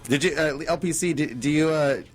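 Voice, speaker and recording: A man hosting a radio show, gushing excitedly and swearing freely, often tripping over repeated words. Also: a little high, brisk, soft background music. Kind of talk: casual radio chat.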